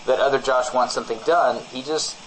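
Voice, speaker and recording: Deadpan male comedy co-host, slight noise. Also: mid-range voice, a little fast, rough recording. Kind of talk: absurd improvised comic banter. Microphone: studio microphone. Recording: faint background noise.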